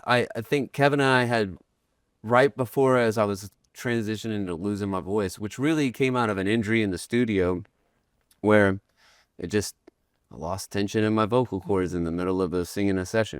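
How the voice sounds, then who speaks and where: A man's voice: lowish voice, moderate pace, clear, resonant tone, dry recording. A man from Texas, a guest musician chatting casually in a podcast studio, rambling and self-deprecating with many fillers and restarts. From Texas, USA. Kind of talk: casual interview chat.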